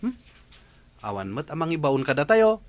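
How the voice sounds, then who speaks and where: A man's voice: on the high side, very animated, moderate pace. A man playing a teasing, doubtful village patrolman in an Ilocano radio comedy drama, with an Ilocano accent. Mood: mocking.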